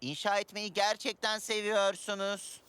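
A woman voicing a friendly, excitable cartoon DJ creature who is bashful and quick to apologise. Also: mid-range voice, heavy background noise, lightly acted. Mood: reluctant. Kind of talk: children's cartoon dub acting. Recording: loud music behind.

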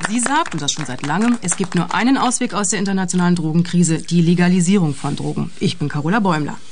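An actress playing a businesslike political talk-show host in a radio drama, formal and controlled. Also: female voice, on the low side, fast, slight background noise, lightly acted. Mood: poised.

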